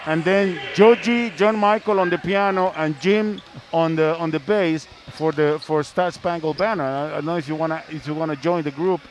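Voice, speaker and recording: Male basketball broadcast host, high-pitched, chatty and casual, slight venue background noise. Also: normal speed, few pauses. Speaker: host of a basketball live stream. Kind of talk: casual sports broadcast chat.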